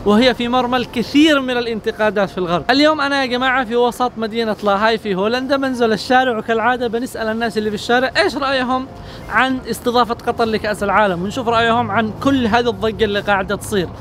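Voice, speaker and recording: A man hosts street interviews outdoors in a city. He speaks at a rapid clip in a high register, with faint traffic and street sounds around him. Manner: rapid energetic intro, slower careful English questions. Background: traffic and street ambience.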